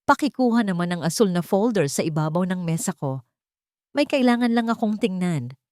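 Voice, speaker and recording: A woman voicing a calm, polite, reassuring young male company boss in a narrated story. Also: on the low side, moderate pace, no echo, clean studio sound, lightly acted. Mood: calm, casual.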